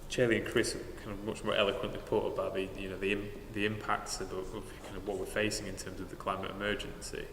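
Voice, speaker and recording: Deep flat-voiced man in an echoey church. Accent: Northern English. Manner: measured, matter-of-fact, frequent uhs. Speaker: university sustainability manager on a panel. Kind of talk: live panel talk in a church. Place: large parish church. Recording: echoey church hall with noticeable noise.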